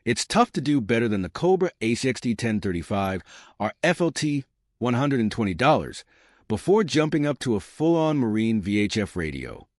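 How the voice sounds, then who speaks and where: A man's voice: medium pitch, very animated, normal speed, dry recording, clean studio sound. A man reading scripted narration for a product review, as the narrator of a buying guide.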